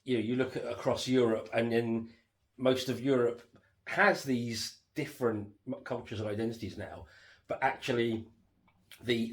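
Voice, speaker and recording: A man co-hosting a casual home podcast, rambling with lots of fillers and restarts, his voice going hoarse. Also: on the low side. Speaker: podcast co-host reviewing a TV series. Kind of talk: casual chat.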